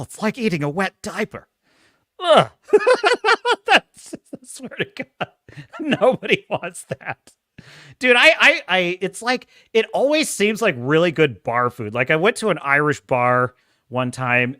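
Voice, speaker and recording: A man's voice: fairly high pitch, bright, forceful tone, no room sound, clean studio sound. A man hosting a casual livestream, chatting and reading viewer comments, with a self-described whiny, nerdy voice, rambling and laughing often. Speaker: host.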